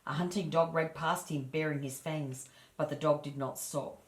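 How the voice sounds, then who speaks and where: Low-voiced woman storyteller in an echoey room. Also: steady tone, moderate pace. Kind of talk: reading a children's fairy tale aloud.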